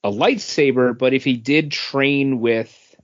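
Male co-host, mid pitch, very slow and hesitant casual delivery, slightly lo-fi recording. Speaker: podcast co-host. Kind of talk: casual geek news discussion.

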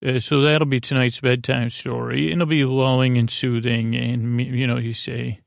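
A man hosting a podcast with a meandering, halting bedtime monologue, often losing his thread as he goes. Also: mid-range voice, level tone, vocal fry, dry recording, studio quality. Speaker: podcast host. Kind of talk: rambling, improvised bedtime monologue.

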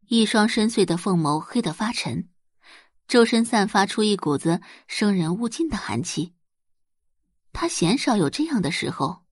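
A woman narrates romance fiction with animated, wide-ranging intonation. Her voice has a husky edge and is recorded close and dry, with a dramatic scripted storytelling delivery. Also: mid-range voice, no room sound. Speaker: audiobook narrator.

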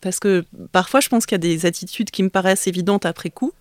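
A middle-aged woman, a native Vietnamese speaker, talks as an interview guest. She pauses, restarts and searches for words in a reflective way. The sound is close and dry, with almost no room. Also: on the low side, no echo. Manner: thoughtful, hesitant, many restarts. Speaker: author guest. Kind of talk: conversational interview.

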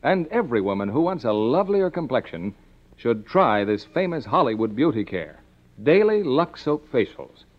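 A male announcer delivering a scripted advertisement in 1950s radio style. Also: medium pitch. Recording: muffled 1950s radio broadcast.

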